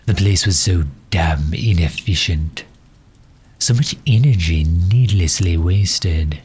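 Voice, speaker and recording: A man acts out a gentlemanly supervillain for an intimate ASMR audio drama. His voice is very low and husky, delivered slowly with breathy edges. He is wordy and pedantic, gently condescending, and fond of his hero, with hesitant pauses between thoughts. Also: heavily acted. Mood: matter-of-fact.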